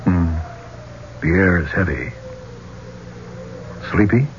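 A man's voice: sits low, lively intonation, slow pace. An actor playing a brash, insistent younger man in a 1970s radio drama, talking in a blunt, persuasive way. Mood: low, coaxing. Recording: muffled, noisy old radio recording.

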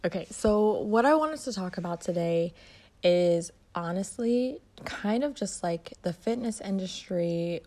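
Twenty-something woman from detroit doing a chill solo podcast, soothing low voice, lots of likes and ums. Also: dark tone. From Detroit, Michigan. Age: 20s. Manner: chatty, rambling, many fillers and restarts. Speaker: podcast host, fitness and mindset coach. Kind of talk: casual solo podcast monologue.

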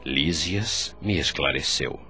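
An actor with a Brazilian accent playing a curious, awed doctor newly arrived in the afterlife, speaking formally in a radio drama. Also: male voice, extremely low pitch, slow pace, bright, forceful tone, heavily acted. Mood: reflective wonder. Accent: Brazilian Portuguese. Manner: formal, wondering. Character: male doctor newly dead, curious, awed, narrating memories. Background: faint music.

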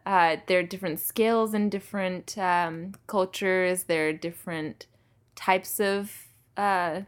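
A woman's voice: lowish voice, fairly even intonation, unhurried, clean tone. A young woman hosting a podcast, asking the guest curious questions and murmuring along as she listens. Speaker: podcast host. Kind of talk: interviewing.

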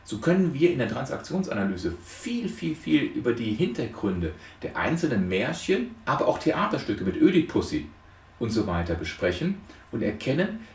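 A man giving an explanatory lecture with storytelling, speaking deliberately with dramatic emphasis. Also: lowish voice, a little hiss or hum. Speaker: lecturer on psychotherapy. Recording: roomy echo, distant microphone.

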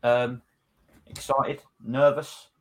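A man's voice: medium pitch, little pitch movement, very slow, rough recording. A man hosting a casual football discussion, speaking emphatically and repeating his phrases for effect. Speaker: podcast host leading discussion.

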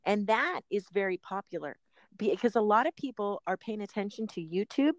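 A woman's voice: medium pitch, lively intonation, moderate pace, no room sound. A middle-aged woman hosting a podcast, chatting casually with frequent laughs and rambling asides. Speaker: podcast host and personal trainer.

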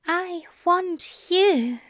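Woman voicing a high, lilting, playful male angel. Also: expressive, slow pace, fairly bright tone, dry recording, studio quality, heavily acted. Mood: commanding, tender. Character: prim, playful male angel, teasing and affectionate.

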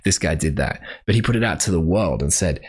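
An Australian man with a deep, husky voice talks solo to his listeners in a loose, rambling way. He keeps stopping to correct himself and fills gaps with ums and likes, in a room with a bookshelf. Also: animated, brisk. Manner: rambling, self-correcting, with frequent fillers. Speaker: podcast host. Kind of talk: casual solo advice talk.

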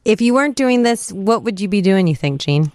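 A woman's voice: medium pitch, animated, a little fast, darkish tone, no echo. A woman asking questions as a radio interviewer in a casual, conversational style.

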